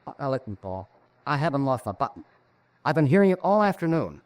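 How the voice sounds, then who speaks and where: An actor playing an earnest, uneasy lab scientist in a 1940s American radio drama, speaking with hesitant but insistent intensity. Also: male voice, mid-range voice, very animated, fast talker, no echo, natural voice. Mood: nervous, earnest. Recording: enhanced 1940s radio recording.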